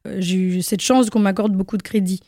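A young woman with a mellow, warm voice speaks casually and hesitantly, stopping often with fillers and restarting her sentences. She is a singer answering questions in a radio interview. The recording is dry and close. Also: mid-range voice, steady tone, fairly quick, dry recording. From Guatemala. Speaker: singer and actress, interview guest.

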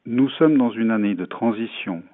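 Male interviewee, low voice, measured and halting official answers, thin phone-like radio sound. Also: a little hiss or hum. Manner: measured, halting delivery with many hesitations. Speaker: tourism foundation president, interviewee. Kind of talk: interview answers, formal spokesman talk.

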